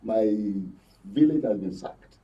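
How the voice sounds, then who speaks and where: A slightly muffled recording, picked up away from the mic, carries a Nigerian man's voice. He comments on current affairs as a panel guest. His delivery is emphatic and halting, and he repeats words to make his point. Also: fairly low pitch, expressive. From Benue, Nigeria. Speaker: studio guest, journalist commentator. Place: broadcast studio panel. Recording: distant mic, dull muffled audio.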